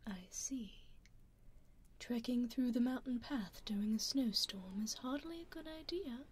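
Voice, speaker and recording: A woman with a British accent voicing a teasing aristocratic female vampire in an ASMR roleplay, whispering softly and slyly into a binaural mic. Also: a little high, measured pace, slight background noise, close to an everyday voice. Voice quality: soft whisper, sly and mocking.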